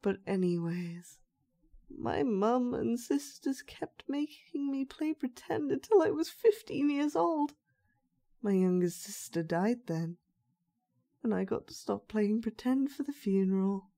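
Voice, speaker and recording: A woman's voice: fairly high pitch, animated, measured pace, warm tone. A woman playing an unhinged, sweetly sinister young dollmaker in a horror audio roleplay, with childlike, sing-song menace. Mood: eerie, brooding.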